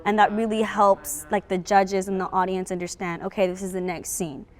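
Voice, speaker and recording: A woman's voice: medium pitch, little pitch movement, moderate pace, soft background music. A teenage young woman, a student actor, explaining things casually in an interview. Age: teens.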